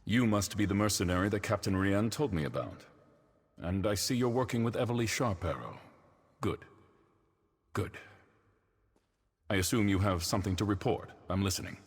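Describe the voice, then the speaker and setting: Grave, very deep-voiced councillor. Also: male voice, expressive, husky. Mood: calm, businesslike. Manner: measured, official. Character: grave, authoritative male imperial councillor. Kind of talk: video game voice acting.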